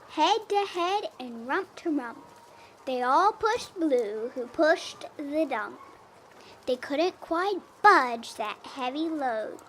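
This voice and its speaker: Slow, high-pitched young storybook reader. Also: a little hiss or hum. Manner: expressive, slow, deliberate, acts out animal sounds. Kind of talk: animated picture book read-aloud.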